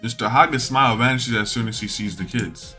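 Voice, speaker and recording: A man with a bright, forceful voice reads a visual novel aloud. He throws himself into the dialogue and drops in his own asides, with some music playing behind him. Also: mid-range voice, brisk. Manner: animated, acts out the dialogue. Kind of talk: dramatic read-aloud of game text with asides.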